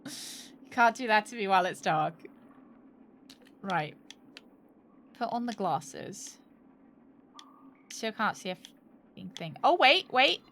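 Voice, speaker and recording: A woman doing casual live game commentary, excitable and playful, reacting aloud and swearing freely. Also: mid-range voice, slow, long pauses, a little hiss or hum. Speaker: game streamer. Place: indoor room, live gaming stream.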